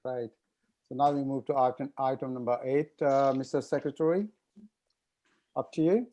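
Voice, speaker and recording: A man's voice: mid-range voice, steady tone, normal speed, mellow, highs cut off. A man chairing an online meeting, brief and formal as he moves through the agenda. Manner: brief, formal procedural phrasing.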